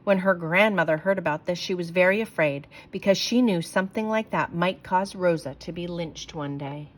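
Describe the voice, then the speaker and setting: A woman reading a children's picture book aloud, telling the story clearly and expressively. Her voice sits slightly low for a woman. She reads at a steady storytelling pace. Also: moderate pace.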